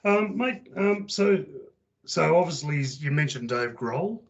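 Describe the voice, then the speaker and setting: A man's voice: a little high, lively intonation, takes it slowly, creaky, lo-fi sound, slight background noise. A man with an Australian accent hosting an informal interview, speaking in casual, slightly hesitant phrases. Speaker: interviewer, host. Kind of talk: casual chat.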